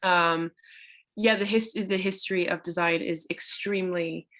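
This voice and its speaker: A woman's voice: fairly low pitch, steady tone, a little slow, fairly bright tone. A woman moderating a panel discussion, asking questions and responding with enthusiasm. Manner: enthusiastic, encouraging.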